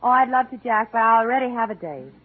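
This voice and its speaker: A woman's voice: a little high, lively intonation, fast talker. A woman playing a wry, teasing assistant in 1940s radio comedy style. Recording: tinny old radio broadcast.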